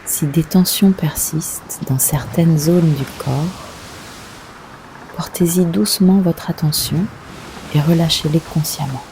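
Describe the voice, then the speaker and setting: A woman leading a guided yoga nidra meditation, giving gentle, unhurried instructions with long pauses. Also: low-pitched, slight background noise, low-quality recording.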